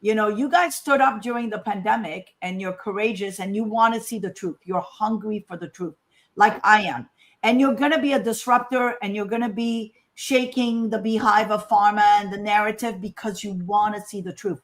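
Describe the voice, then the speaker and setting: A woman's voice: medium pitch, moderate pace. A woman from Morocco speaking as a scientist in an informal talk, emphatic and conversational, often asking rhetorical questions. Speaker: scientist giving a conference talk.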